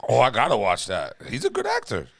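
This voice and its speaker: A man's voice: medium pitch, huge pitch swings, a little fast, vocal fry, over a noisy crowd. A man hosting a podcast, talking in casual, slangy chat with friends. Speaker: podcast host.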